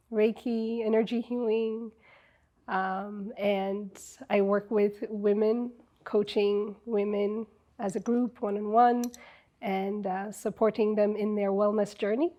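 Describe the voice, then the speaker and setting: A middle-aged woman from Ethiopia, a wellness coach, telling her story as an interview guest in a reflective way, with many ums and pauses. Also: mid-range voice, little pitch movement, mellow.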